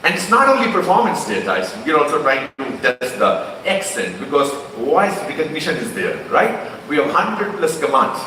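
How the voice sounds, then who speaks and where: Bright-voiced Indian man in an echoey hall. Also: on the high side, big pitch swings, normal speed, few pauses, slight background noise. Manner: conversational, with frequent restarts and fillers. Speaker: company executive presenting a car launch. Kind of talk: unscripted presentation speech. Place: large launch event hall. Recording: echoey hall from a distant mic.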